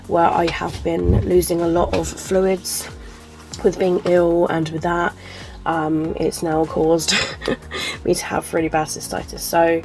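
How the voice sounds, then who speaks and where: A woman's voice: fairly low pitch, creaky, a little mellow, low-quality recording. A woman chatting casually to camera as a book vlogger, sounding chesty and congested, rambling with lots of ums and likes. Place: at home.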